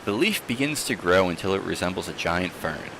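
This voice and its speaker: A man reading a story aloud in a theatrical, expressive manner, with casual asides, like a streamer hosting for an audience. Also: low voice, brisk, noisy background.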